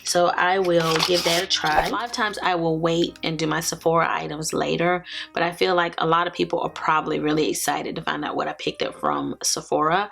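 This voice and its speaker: A woman in her forties, hoarse from allergies, chatting casually as a beauty vlogger. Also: on the low side, a bit bright. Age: 40s. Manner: chatty, laughs often. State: raspy from allergies. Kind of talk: casual haul vlog.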